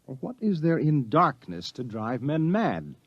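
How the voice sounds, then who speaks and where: A man's voice: mid-range voice, sing-song, unhurried, slightly rough, dry recording, exaggerated voice. Skeptical young man, dry lo-fi radio drama. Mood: incredulous. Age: young adult. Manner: questioning, incredulous. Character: skeptical young male reporter, easily unnerved. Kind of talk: old radio drama acting. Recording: dull lo-fi old radio recording.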